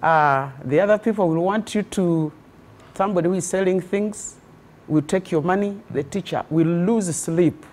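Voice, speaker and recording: A woman's voice: lowish voice, normal speed, a little mellow. Impassioned woman speaking. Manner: impassioned, preacherly phrasing. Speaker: teacher education registrar, guest. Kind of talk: TV studio interview. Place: TV studio set.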